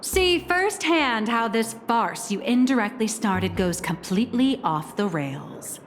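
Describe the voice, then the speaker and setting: A woman voicing a shrewd, decisive young agent in a game, cool and measured in manner. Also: a little high, expressive, a little hiss or hum. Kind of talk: game voice acting.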